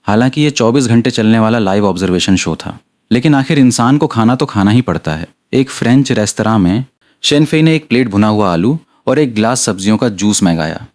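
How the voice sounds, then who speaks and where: A man's voice: fairly low pitch, animated, fairly quick, darkish tone. A man reading a scripted story aloud as an audiobook narrator.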